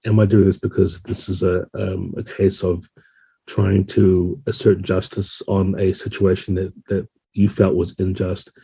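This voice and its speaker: A man with a low, mellow voice talks as an interview guest, explaining things in a thoughtful, conversational way. His tone has a husky grain, and the sound is close and dry. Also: flat delivery, unhurried, no echo. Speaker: podcast guest, game designer.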